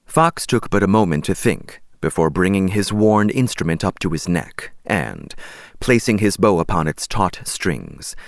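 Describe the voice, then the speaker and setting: A man's voice: low-pitched, dry recording, clean studio sound. A man narrating an audiobook story in a scripted storytelling style.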